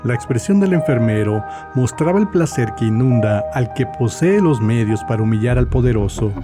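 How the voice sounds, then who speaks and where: A man with a mid-range voice narrates a dramatized tale in a scripted storytelling style. Music plays clearly behind his voice. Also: medium pitch, lively intonation, moderate pace. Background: background music.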